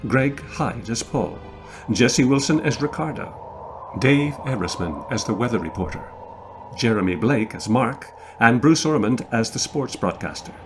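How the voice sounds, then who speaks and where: A man's voice: medium pitch, animated, unhurried. A man reading scripted cast and production credits as the announcer of a radio play. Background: music.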